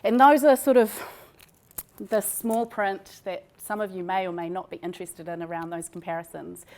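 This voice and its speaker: A woman researcher with a New Zealand accent gives an academic presentation. Her pitch is middling for a woman, and she talks in an informal, conversational way, as if explaining her own study. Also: steady tone, normal speed, a little mellow.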